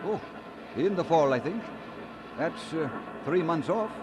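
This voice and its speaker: An actor playing an earnest, devout young Scottish immigrant bound for seminary, halting when moved and firm about his faith, in a radio drama. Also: on the high side, expressive. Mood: puzzled, defensive. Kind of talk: mid-century radio drama acting.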